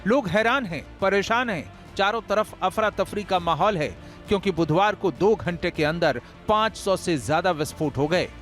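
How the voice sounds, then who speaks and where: High-pitched man narrating dramatic news reports. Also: expressive, normal speed, a bit bright, soft background music. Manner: emphatic, dramatic delivery. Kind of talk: scripted dramatic news voice-over.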